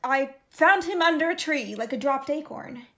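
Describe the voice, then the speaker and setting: A woman's voice: high voice, expressive, moderate pace, fairly bright tone. A woman acting a merry, teasing young noblewoman in a Shakespearean radio play, exuberant and theatrical, on a lo-fi recording. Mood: playful.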